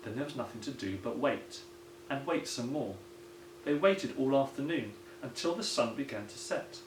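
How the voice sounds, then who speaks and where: A man's voice: mid-range voice, lively intonation, moderate pace. A man, a primary school teacher, reading a children's story aloud. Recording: roomy echo, some background noise.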